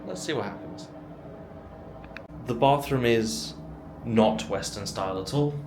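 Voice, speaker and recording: A man's voice: fairly low pitch, unhurried. A young man narrating a casual travel vlog with wry, dry, self-deprecating asides, filming on a handheld camera. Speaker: travel vlogger. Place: outdoors on roadsides and streets, then an indoor hotel room. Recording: loud traffic and street noise.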